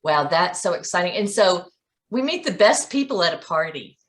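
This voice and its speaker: Woman host, kinda low voice, upbeat and encouraging. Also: big pitch swings, moderate pace. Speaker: host, sales director. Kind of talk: casual interview.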